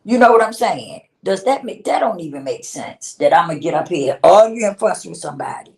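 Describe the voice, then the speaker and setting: A woman's voice: on the low side, expressive, a little fast, gravelly, darkish tone. 50-something woman going off on her live, blunt and cussing, roomy sound a bit far from the phone. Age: 50s. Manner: streetwise, blunt, swears, frequent laughs. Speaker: livestream host. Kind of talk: casual livestream talk. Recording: roomy sound, speaking away from the mic.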